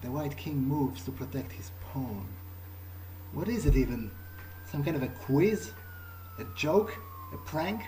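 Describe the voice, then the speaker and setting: A man's voice: mid-range voice, expressive, measured pace, warm tone, a little hiss or hum, low-quality recording. A man improvising aloud in character during a game playthrough, speaking in a hesitant, thoughtful, musing way. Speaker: gamer playing in character. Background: game music.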